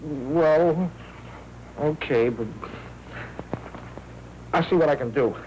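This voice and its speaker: An actor playing a glib, wisecracking American newsroom tipster in slangy 1940s film noir style. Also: male voice, fairly high pitch, takes it slowly, dull audio. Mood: reluctant. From United States. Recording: noisy, crackly 1940s film soundtrack.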